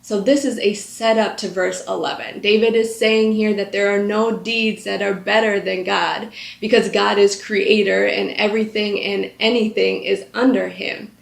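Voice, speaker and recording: A woman's voice: mid-range voice, steady tone, moderate pace, gravelly, hardly any pauses. A woman in her thirties hosting a Bible study, reading a psalm aloud and then explaining it in an earnest, conversational way with frequent filler words. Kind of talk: scripture reading and devotional teaching. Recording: echoey room.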